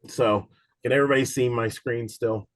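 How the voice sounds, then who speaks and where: Raspy-voiced man teaching an exam class. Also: medium pitch, fairly even intonation, moderate pace, no room sound. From Cincinnati, USA. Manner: casual, rambling, many fillers and restarts. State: raspy from a cold. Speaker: insurance exam instructor. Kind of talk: live online study session.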